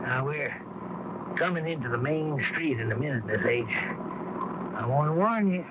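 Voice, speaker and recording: A man's voice: on the high side, lively intonation, normal speed, close to an everyday voice. An actor in a radio drama playing an earnest, bewildered small-town man. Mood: uneasy, confiding. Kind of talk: 1980 radio drama acting. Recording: noisy, dull old radio broadcast.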